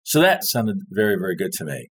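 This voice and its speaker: A man's voice: low voice, huge pitch swings, fairly quick, a bit bright, husky. A man telling a long story in casual conversation, rambling and stopping to search for words. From born in France, grew up overseas. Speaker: guest telling stories.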